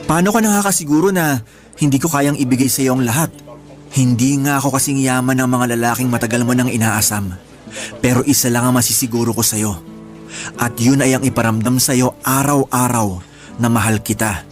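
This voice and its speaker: Male actor for sincere, persistent young suitor in radio romance; mid-pitched, pleading delivery over soft music. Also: fairly even intonation, normal speed, lightly acted. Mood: ardent, determined. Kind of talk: radio romance drama acting. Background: background music.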